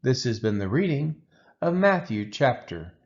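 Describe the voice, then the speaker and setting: Expressive man reading scripture, dull sound. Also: mid-range voice, unhurried. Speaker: scripture reader for a daily church read-along. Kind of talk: scripted Bible reading aloud.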